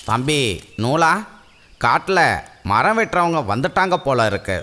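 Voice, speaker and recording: A firm, concerned cartoon forest creature, gives urgent warnings. The male voice is high and bright, with an admonishing manner. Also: big pitch swings, measured pace, heavily acted. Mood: worried warning. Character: cautioning older-brotherly forest animal, concerned and firm. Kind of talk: children's cartoon voice acting.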